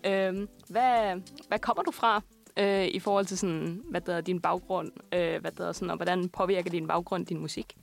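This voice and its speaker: A woman's voice: on the low side, fairly even intonation, gravelly. A woman hosting a radio show, chatting informally and slipping in the odd English phrase. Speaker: radio host interviewing a musical guest. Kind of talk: casual chat.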